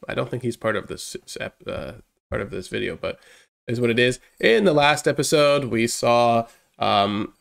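Stuffed-up young man with a gravelly voice. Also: mid-range voice, fairly bright tone. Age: young adult. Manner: chatty, hyped, rambling. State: congested, stuffed up from a cold. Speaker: reaction channel host. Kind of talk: casual reaction commentary. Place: small room indoors. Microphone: headset mic.

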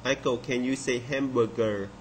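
Male developer presenting a demo, halting and unscripted, medium pitch, some background noise. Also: fairly even intonation. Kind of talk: software demonstration. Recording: clearly noisy room recording.

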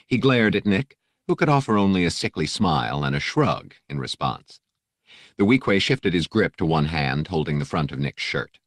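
A man narrates an adventure audiobook in an extremely low voice. The reading is scripted storytelling, captured close with a dry sound. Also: very animated, normal speed, dry recording.

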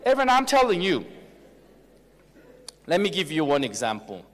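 A Korean-accented man lectures a crowd in a lively, swinging voice that sits a little high for a man. He leans on fillers, then pushes up into emphatic calls to the audience. A long hall reverb trails after every phrase. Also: normal speed. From South Korea. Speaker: pastor giving a lecture. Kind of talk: motivational lecture to a live audience.

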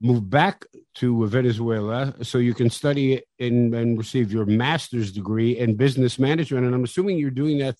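A man hosting a podcast interview, asking questions with frequent halting restarts. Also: mid-range voice, mellow, no echo. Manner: halting, repeats words. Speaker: podcast host.

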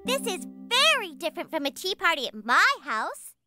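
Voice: very high-pitched, big pitch swings, moderate pace, music in the background. A performer voicing an eager, friendly little kindergarten girl in a children's cartoon, bubbly and keen. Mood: eager, curious.

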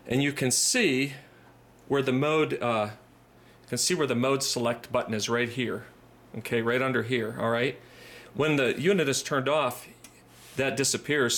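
A man giving an informal, methodical hands-on repair tutorial, speaking haltingly and repeating phrases as he goes. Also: mid-range voice, a bit bright.

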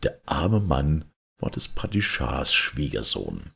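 Deep, husky male storyteller. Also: huge pitch swings, slow pace, sharp tone. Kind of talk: expressive fairy-tale narration.

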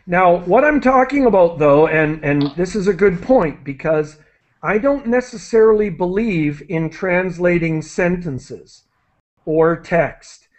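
A man's voice: sits high, expressive, slow. A middle-aged man wearing a headset, hosting an online English class and explaining things in a friendly way with many fillers and restarts. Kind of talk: live online English lesson. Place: small room indoors. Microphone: headset on a video call. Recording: dull lo-fi video call audio.

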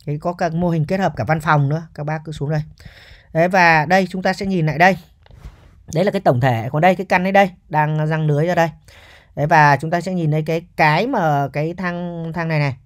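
A man's voice: a little high, fast talker. A man giving a casual, chatty property walkthrough as a real estate agent, often ending points with a checking question.

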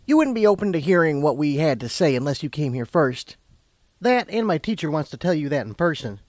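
A man voicing a calm, wise unicorn mare, a sympathetic mentor with a patient, knowing manner. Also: a little high, fairly quick, no room sound, clean studio sound, pitched above the performer's own voice.

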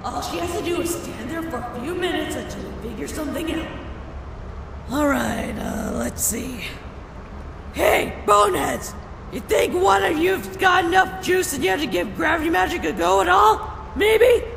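A woman's voice: very high-pitched, lively intonation, normal speed, gravelly, full character voice. A woman voicing a brash, impatient fish-woman warrior in a comic dub, blunt, slangy and bossy. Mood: brisk, exasperated. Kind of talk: dramatic comic dub acting. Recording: echoey reverberant hall with background noise.

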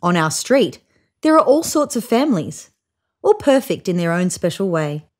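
A woman in her thirties reading a children's picture book aloud in an expressive, child-directed way. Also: on the low side, normal speed. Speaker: storybook reader. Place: small room indoors, seated on a couch.

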